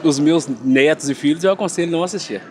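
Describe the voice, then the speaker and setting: A man's voice: fairly high pitch, quick pace. A Brazilian man, a grandfather, giving a firm opinion in a street interview. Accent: Brazilian Portuguese.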